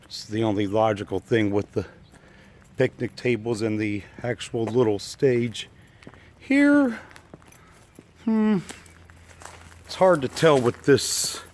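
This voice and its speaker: Male explorer vlogger, mildly congested froggy voice, unhurried folksy off-the-cuff remarks, some noise behind. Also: medium pitch, expressive, a little mellow. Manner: halting, musing, folksy. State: slightly froggy from allergies. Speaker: urban exploration video maker. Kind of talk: casual unscripted walk-through commentary. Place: walking around an abandoned park site.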